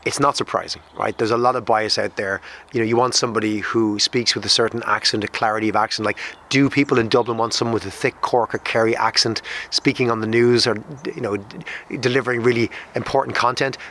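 Fast-talking male explainer. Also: medium pitch, steady tone, a bit bright, slight background noise. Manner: brisk, explains with reasoning. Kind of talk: explanatory talk.

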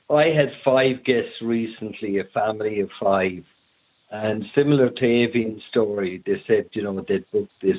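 A man with an Irish accent calling in to a radio show and telling a story in an amused way. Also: fairly low pitch. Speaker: caller, B&B owner. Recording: tinny phone line.

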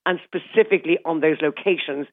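A woman calling in for a castle hotel, telling its film history with animated excitement. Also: low voice, brisk. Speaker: caller, hotel representative. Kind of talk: phone interview. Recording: tinny phone line.

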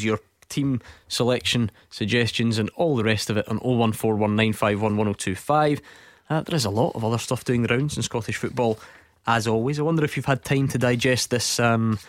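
A man's voice: mid-range voice, no echo. A man hosting a radio sports phone-in from the studio, talking in a wry, teasing way as he reads out numbers and brings in callers.

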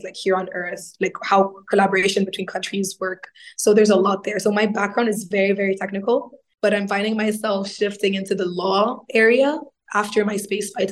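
This is a woman, giving interview answers in a steady, even tone that barely rises or falls. Her pitch sits in an ordinary middle range. She explains things earnestly, step by step. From Egypt. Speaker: guest astronaut.

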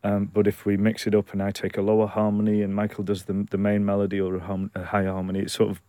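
A man with a fairly low voice talks as a guest singer, laid-back and deadpan. His sentences often trail away softly. The sound is dry and close. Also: fast talker, dry recording. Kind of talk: casual interview chat.

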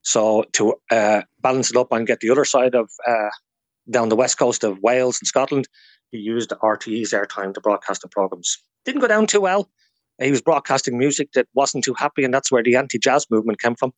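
A man's voice: medium pitch, a little fast, no room sound. A man with an Irish accent talking as a guest historian in a radio interview, explaining things informally through anecdotes.

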